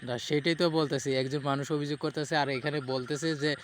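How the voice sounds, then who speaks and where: A man's voice: on the high side, fairly even intonation, fairly quick, lightly acted. A man with a Bangladeshi accent posing as a reproachful, probing police official on a prank phone call, starting hesitantly. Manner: questioning, admonishing, hesitant starts. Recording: phone call with faint noise.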